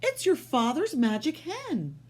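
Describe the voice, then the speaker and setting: A woman reading aloud, voicing Jack's joyful, amazed mother, who speaks in high exclamations. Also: huge pitch swings, slow, fairly bright tone, full character voice. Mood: delighted.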